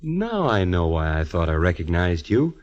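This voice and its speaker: An actor playing a polite, obliging bartender in a vintage radio drama, speaking in a courteous, mild way. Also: male voice, low voice, sing-song, normal speed. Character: polite, obliging male bartender, new on the job. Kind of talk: 1940s radio drama acting. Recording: dull vintage radio recording.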